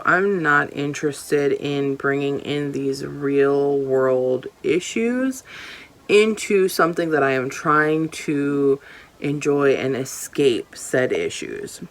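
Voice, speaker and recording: A woman's voice: low voice, slow. A young woman reviewing a book on her vlog in a casual, emphatic and opinionated way, often repeating herself for stress. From Hawaii. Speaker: book vlogger.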